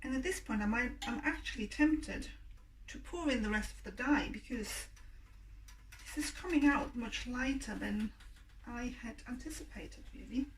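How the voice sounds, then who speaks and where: Woman, croaky, high-ish voice, casual demo, mic a little distant, faint hum. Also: moderate pace. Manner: chatty, hesitant with many ums. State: croaky voice, clearing throat. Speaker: yarn dyer and shop owner. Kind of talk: casual demonstration video. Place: home workspace with hot plate. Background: faint hum of a hot plate.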